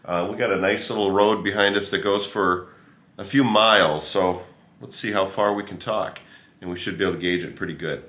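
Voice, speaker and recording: A man giving a casual, unscripted product review, explaining step by step in a halting, informal way. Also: sits low, steady tone, pushed and bright. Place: outdoors on a rural farm. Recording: noisy outdoor farm ambience with chickens. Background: clucking chickens, outdoor ambience.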